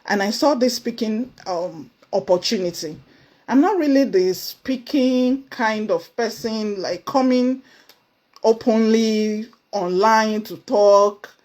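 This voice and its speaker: Earnest Nigerian woman, emphatic and lively. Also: slow. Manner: earnest, emphatic, with sudden high exclamations. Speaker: motivational speaker. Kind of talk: motivational talk to camera. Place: indoors, seated before camera.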